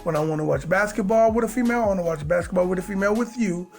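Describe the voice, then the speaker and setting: A man's voice: sits high, very fast, gravelly, soft background music. A man co-hosting a podcast, talking in a playful, teasing way with streetwise slang. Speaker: podcast co-host. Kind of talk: casual chat.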